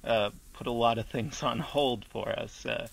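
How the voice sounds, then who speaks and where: Radio play: a patient, tactful straight man, natural and understated, with some fry in the voice. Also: medium pitch, measured pace. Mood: gently probing. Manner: hesitant, apologetic, understated. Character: gentle, tactful man patiently humoring a talking building.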